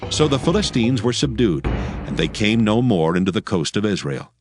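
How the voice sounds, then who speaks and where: A man narrating scripture from a script in a solemn, measured, formal manner. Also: lowish voice, very animated. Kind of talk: scripted dramatized Bible narration. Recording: faint music behind the voice. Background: faint background music.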